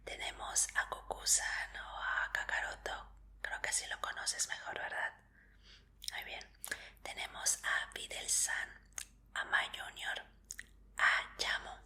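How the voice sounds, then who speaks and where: A woman whispers in Spanish, very bright and crisp in tone. She takes her time, gently reassuring the listener in a breathy ASMR roleplay as an official examiner. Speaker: ASMR roleplayer playing a martial arts tournament examiner.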